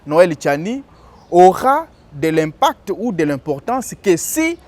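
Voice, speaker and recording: A man talks through legal points like a teacher. His voice sits high for a man, and he pauses often on fillers as he explains. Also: big pitch swings, a little mellow. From DR Congo. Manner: didactic, with frequent hesitations. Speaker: political commentator. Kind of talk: explanatory commentary.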